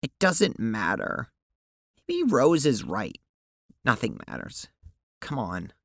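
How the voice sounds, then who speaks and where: A woman playing an anguished teenage girl and her timid double in an audio drama, speaking haltingly. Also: very deep voice, huge pitch swings, gravelly, no room sound, studio quality, full character voice. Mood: sullen, resigned. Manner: stammering, halting. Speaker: voice actor.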